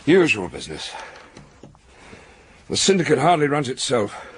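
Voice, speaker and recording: An actor playing a troubled, proud and embittered husband, speaking gravely in a radio drama. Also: male voice, mid-range voice, very animated, normal speed, slight background noise, close to an everyday voice. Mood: bitter, troubled. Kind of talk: naturalistic radio drama acting.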